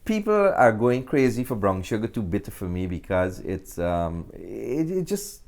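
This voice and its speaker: A man from Guyana with a Guyanese accent talks casually as an interview guest, often pausing mid-sentence. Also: lowish voice, big pitch swings, gravelly, a little mellow. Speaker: interview guest, actor and filmmaker.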